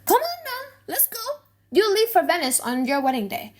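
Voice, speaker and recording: A woman's voice: very high-pitched, wildly swooping pitch, normal speed, voice pushed up, full character voice. A woman voicing a loving, wealthy young noblewoman in an audiobook play, commanding and reassuring. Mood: brisk, loving. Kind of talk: dramatic audiobook reading of a play.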